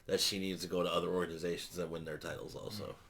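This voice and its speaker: Low-voiced podcast dude, short blunt answers, cusses a lot. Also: male voice, little pitch movement, quick pace, vocal fry, fairly bright tone. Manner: blunt, curt verdicts with swearing. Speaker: podcast co-host. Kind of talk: casual chat.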